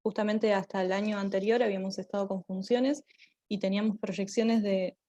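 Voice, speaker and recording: A woman with an Argentine accent giving an informal online talk, often halting as she searches for her words. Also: mid-range voice, steady tone, mellow, muffled recording. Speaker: theatre group member giving a talk.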